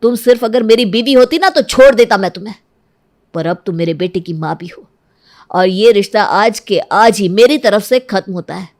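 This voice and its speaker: A woman's voice: mid-range voice, normal speed, darkish tone, natural voice. A woman playing a hurt, resolute young husband and father in a cartoon family drama, stern and reproachful. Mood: angry, resolute.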